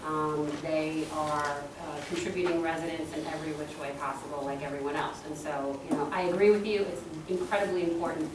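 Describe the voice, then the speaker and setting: A woman town official speaking earnestly on a panel, halting with many fillers. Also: low-pitched, fairly even intonation. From Puerto Rican heritage. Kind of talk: panel discussion. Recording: echoey room, distant mic, noisy.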